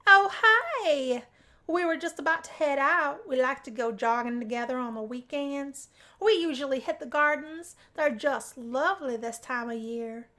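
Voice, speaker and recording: A woman's voice: sits high, a little slow, sharp tone. A woman voicing a bubbly, kind young female student in a visual novel, eager and encouraging. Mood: cheerful, inviting.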